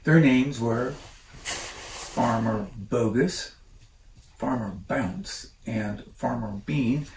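An older man reads a children's book aloud in a casual, unhurried way, breaking off for chatty asides. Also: medium pitch, creaky, darkish tone. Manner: informal, unhurried, commenting as he reads. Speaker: storyteller reading a children's book. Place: living room by a stone fireplace. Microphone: camera a few feet away. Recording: roomy, distant mic, faint noise.

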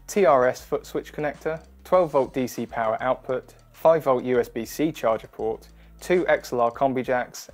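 A man in his thirties narrates a scripted product walkthrough. His delivery is clear and instructional, at a middling pitch, with music playing behind him. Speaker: product demonstration presenter. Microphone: wireless lavalier or close studio mic. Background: background music.

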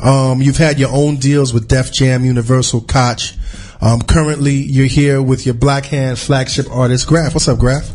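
A man's voice: mid-range voice, fairly even intonation, moderate pace, a little mellow, soft background music. A man hosting a casual studio podcast interview, talking loosely with many restarts and repeated words. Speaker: podcast host.

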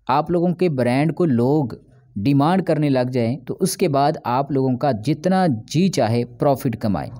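A man, hosting a business channel and narrating an explainer video as a voice-over, speaking directly to his viewers with frequent drawn-out filler sounds. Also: fairly high pitch, dark tone.

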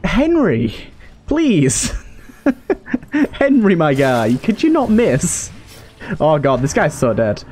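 A man's voice: fairly high pitch, huge pitch swings, takes it slowly, long pauses, a little mellow, faint music behind. A man hosting a video game playthrough with casual, conversational commentary. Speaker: gaming host. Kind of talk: casual let's play commentary.

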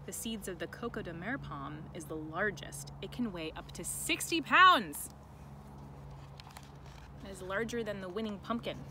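A woman reads a children's book aloud in an expressive, deliberate way, adding chatty asides. Her voice sits at a middle pitch. She is recorded outdoors on a camera, with clear ambient noise behind her. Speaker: educator reading a picture book aloud to children. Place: outdoors in a sunny farm garden. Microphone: camera held by another person nearby.

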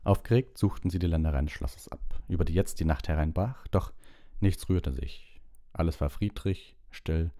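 Casting: male audiobook narrator, deep pitch, soft dark timbre, scripted storytelling. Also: normal speed, no echo, studio quality.

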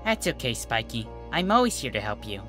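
A narrator voicing an excitable, caring young female pony prankster with a bubbly, emphatic manner. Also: medium pitch, a little fast, faint music behind. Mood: comforting.

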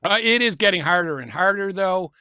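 A middle-aged man with a raspy voice from a lingering cough, giving blunt, sarcastic football picks from a small home room on a desk microphone. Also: sits high, animated, brisk, sharp tone, dry recording, clean studio sound. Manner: blunt, sarcastic about teams and coaches. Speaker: sports picks vlogger. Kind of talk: casual football picks commentary. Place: small home room full of sports memorabilia. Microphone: desk microphone in front of him.

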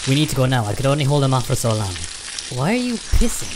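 A man playing a wary peacekeeper imp in a radio play, with a dry, formal, measured delivery. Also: medium pitch, sing-song, background noise, close to an everyday voice. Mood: urgent. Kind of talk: short radio play acting. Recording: music behind the voice.

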